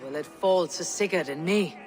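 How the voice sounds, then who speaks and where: A woman's voice: lowish voice, normal speed, vocal fry, music in the background. An actress playing a loyal, steadfast Viking warrior woman, direct and wry, in video game dialogue. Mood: firm, dutiful. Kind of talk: dramatic game cutscene dialogue.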